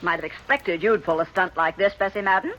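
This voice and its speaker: An actress in a 1950s radio western playing a feisty, jealous small-town woman with a sharp, accusatory, folksy delivery. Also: mid-range voice, expressive, fairly quick, muffled recording, close to an everyday voice. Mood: accusing, defiant. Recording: faintly noisy 1950s radio recording.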